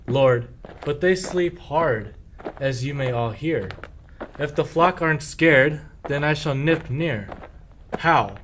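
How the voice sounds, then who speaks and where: A man's voice: on the high side, expressive, takes it slowly, fairly bright tone, slight background noise. A man playing a sly, boastful, self-pitying sheep thief in a medieval verse radio play, with declamatory rhyming speech. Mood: sly, secretive.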